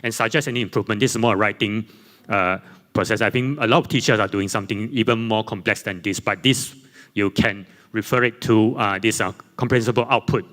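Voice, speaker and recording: A man presenting slides at a conference panel, speaking English with Chinese as his first language, in a halting way full of fillers and self-corrections. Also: on the low side, a bit bright. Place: conference hall. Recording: long reverb in a conference hall.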